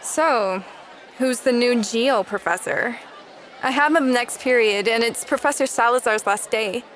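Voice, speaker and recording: A woman acts as a wry, teasing student in an audio drama. Her voice is fairly high and her delivery casual and a little patronizing. Faint crowd chatter and noise sit behind her. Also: a little slow, a bit bright, rough recording. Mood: casual, chatty.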